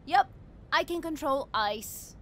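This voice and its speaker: A woman's voice: high-pitched, expressive, takes it slowly, exaggerated voice. A woman playing a brash, hot-tempered young superheroine in a comic dub, over prominent music. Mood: defiant. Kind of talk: acted comic dub dialogue.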